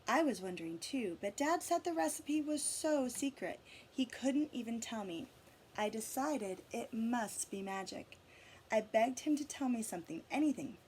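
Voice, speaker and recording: A woman reading a picture book aloud to children, with dramatic emphasis, drawn-out words and asides to her listeners. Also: fairly high pitch, animated, darkish tone, slight background noise. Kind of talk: storybook read-aloud with asides and questions to listeners.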